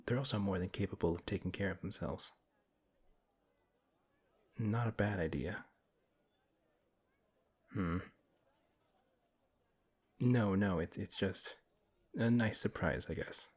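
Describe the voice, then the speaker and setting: A man playing a big, principled, gently protective paladin in a fantasy audio roleplay, speaking in a measured, earnest way with hesitant pauses and a husky voice. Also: sits low, full character voice. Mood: pleasantly surprised. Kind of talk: fantasy audio roleplay, one-sided intimate conversation.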